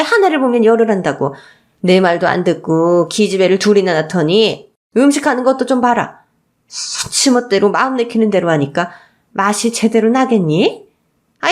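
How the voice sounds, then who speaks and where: A woman's voice: a little high, close to an everyday voice. A woman voicing a spiteful old mother-in-law who scolds and belittles with exasperated exclamations in a radio story drama. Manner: scolding, contemptuous, sighing exclamations.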